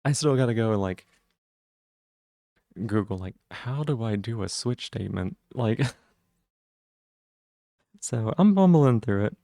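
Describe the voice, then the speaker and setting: A man streaming live, chatting casually with viewers and greeting them by name, with a rough voice today. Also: lively intonation, measured pace, long pauses, warm tone, no room sound, studio quality. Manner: friendly, greets chat members by name, jumps up in pitch on greetings.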